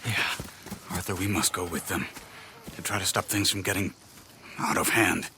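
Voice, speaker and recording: A calm, cautious outlaw in a western game. The voice is fairly deep and measured, with grave, principled restraint. Background ambience is clearly audible. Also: male voice, noisy background. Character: calm, principled, cautious male gang member. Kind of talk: cinematic western game acting.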